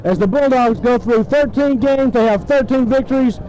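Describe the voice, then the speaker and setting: A man calling football play-by-play on 1970s radio, excited and emphatic, in short phrases. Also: very high-pitched, moderate pace, low-quality recording. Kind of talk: live football play-by-play commentary. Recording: 1970s AM radio sports broadcast.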